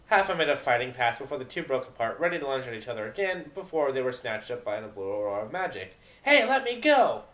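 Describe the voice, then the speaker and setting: A man's voice: medium pitch, expressive, quick pace, few pauses, fairly bright tone. A man reading narration for an amateur dramatic reading of a fan fiction story. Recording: roomy, echoing home recording.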